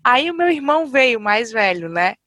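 A woman's voice: fairly high pitch, sing-song, moderate pace, a bit bright, dry recording, studio quality. A woman co-hosting a podcast, telling a family story with amused asides. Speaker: podcast co-host. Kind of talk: casual chat.

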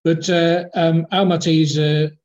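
A man's voice: fairly high pitch, little pitch movement, unhurried, darkish tone, lo-fi sound, slight background noise. A man, a football club executive, talking as a guest in a casual interview, rambling and hesitant with many fillers and restarts. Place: in the capital of Kazakhstan.

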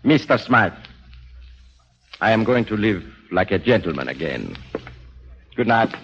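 Haughty gentleman, dull old radio recording. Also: fairly low pitch, very animated, measured pace, heavily acted. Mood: proud, formal. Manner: stiffly formal, precise speech. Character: haughty, shabby-genteel down-and-out gentleman with monocle. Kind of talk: 1940s radio drama acting.

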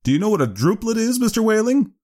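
Man playing a preachy, genial old doctor, very animated. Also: high-pitched, fast, slightly rough, pitched above the performer's own voice, heavily acted. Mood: lecturing, severe. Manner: emphatic, lecturing. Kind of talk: dramatised short story reading.

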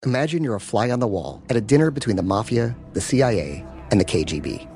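A man reading a scripted promo for his podcast, slow and dramatic. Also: medium pitch, animated, a little mellow. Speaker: podcast host in an ad. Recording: promo with music bed. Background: music.

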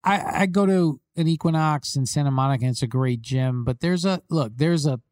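A man co-hosting a casual sports talk show, telling animated stories and restarting his sentences often. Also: on the high side, brisk, no room sound, clean studio sound. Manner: animated storytelling with many restarts. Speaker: podcast co-host, rules expert.

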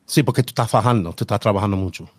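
Host, male, native English speaker, halting Spanish with English slips, dry close sound. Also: on the low side, dry recording. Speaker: podcast host. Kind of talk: casual podcast intro.

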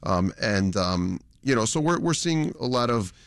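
A man's voice: medium pitch, animated, moderate pace, smooth, dry recording. A man on a radio news roundtable in a studio, talking in a wry, storytelling way and chuckling as he goes. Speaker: reporter panelist.

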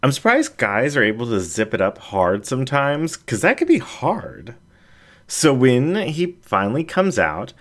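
A man voicing a playful adult female animal character in an audiobook, with an animated, confiding storytelling manner. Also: on the low side. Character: playful adult female anthropomorphic animal sharing personal anecdotes.

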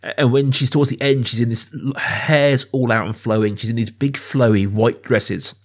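A man's voice: medium pitch, fairly even intonation, moderate pace. A man hosting a casual film discussion podcast, explaining things in thoughtful, enthusiastic detail. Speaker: podcast host.

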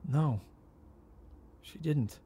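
A man's voice: mid-range voice, very slow, dark tone, a little hiss or hum. An actor in a naturalistic audio drama playing an agitated, wounded heir in his thirties who stammers with indignation. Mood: stunned, confused. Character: agitated adult heir of a rich family, volatile and wounded.

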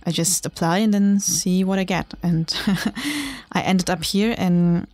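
A woman's voice: on the low side, slow pace, a little mellow, dry recording, clean studio sound. A young woman from Germany whose first language is German, a journalist and writer, talking reflectively in a casual interview and laughing often.

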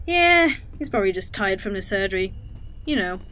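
A woman's voice: medium pitch, big pitch swings, fairly quick, sharp tone, no room sound. A woman playing a wry, protective mechanic. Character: blunt young woman time-traveler mechanic. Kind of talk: audio drama acting.

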